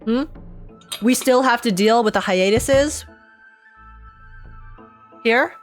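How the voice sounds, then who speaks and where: A woman's voice: a little high, slow pace. A woman streamer giving playful, teasing and exclamatory commentary while reacting to a game. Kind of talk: playful gaming commentary with dramatic read-alouds. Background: music behind the voice.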